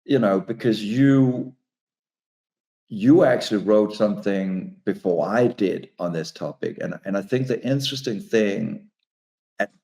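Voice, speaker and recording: A very deep-voiced man whose voice is gravelly with fry. He is a native Danish speaker talking in English, and he stops and restarts his sentences often. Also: measured pace, warm tone. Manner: hesitant, many restarts. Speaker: author and speaker. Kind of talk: casual conversation.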